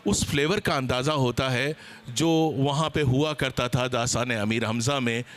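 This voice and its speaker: A man speaking as a seminar panelist, explaining at length with some hesitant pauses. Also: mid-range voice, steady tone, fairly bright tone. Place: book fair seminar with a live panel.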